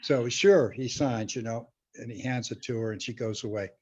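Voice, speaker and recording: A man's voice: mid-range voice, moderate pace, a little mellow, husky. An older man telling a casual, rambling show-business anecdote, acting out the quotes as he goes. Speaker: storyteller, show-business veteran. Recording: dull, lo-fi sound.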